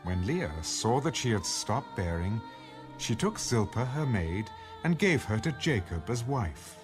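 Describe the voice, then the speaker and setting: A man narrating scripture from a script in a measured, solemn storytelling manner, as in a dramatized audio Bible. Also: medium pitch, big pitch swings. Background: music.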